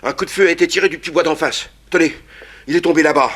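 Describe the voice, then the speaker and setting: Casting: urgent police guard, raspy and quick, 1960s radio play. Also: male voice, a little high, fairly bright tone. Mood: alarmed. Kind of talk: 1960s French radio drama acting.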